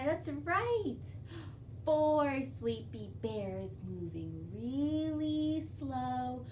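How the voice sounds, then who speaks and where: High, animated woman leading children's storytime. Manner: sing-song, expressive, addressing young children. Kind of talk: children's storytime with songs, rhymes and read-aloud. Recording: distant mic, faint background noise.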